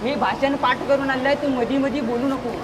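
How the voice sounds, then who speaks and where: A cranky village blusterer acted by a stage performer scolds in a high voice. The manner is combative and full of exclamations, in rustic comic theatre. Clear noise runs through the live stage recording. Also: steady tone, normal speed, natural voice. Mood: threatening. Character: irritable, blustering village man, quick to threaten. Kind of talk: rustic school stage comedy acting. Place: live stage at a school event.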